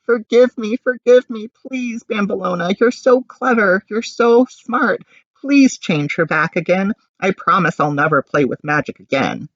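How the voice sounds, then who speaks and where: A man voicing a flustered, eager young village woman who shouts, wails and pleads in a storybook. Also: high voice, lively intonation, measured pace, no echo, dull audio, pitched above the performer's own voice, heavily acted. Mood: distraught pleading.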